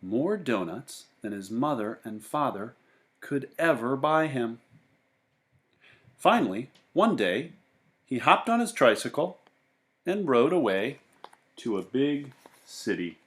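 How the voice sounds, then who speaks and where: Male storyteller for picture books, slow and deliberate, widely varied pitch. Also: big pitch swings. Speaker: children's book reader. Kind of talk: picture-book read-aloud with casual asides.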